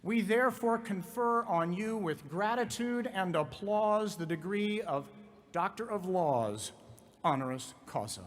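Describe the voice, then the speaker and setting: A university president reading a formal degree citation in a measured, ceremonial manner. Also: male voice, high-pitched, animated, noisy background.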